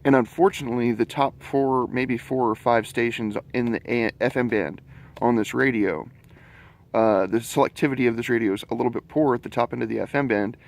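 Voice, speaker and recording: A man's voice: medium pitch, a little fast. A man giving a casual, chatty product review, explaining features informally in rambling detail. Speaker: gadget reviewer.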